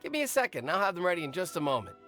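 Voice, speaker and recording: An eager young drink stall owner in a fantasy game, with a high, lively voice that bounces up and down. He sounds earnest and a little flustered. Faint game music plays behind him. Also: rapid-fire. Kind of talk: game cutscene voice acting.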